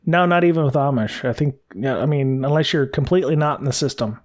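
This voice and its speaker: A man's voice: mid-range voice, quick pace. An American man co-hosting a podcast in casual conversation, talking in a hesitant, rambling way. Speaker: podcast co-host.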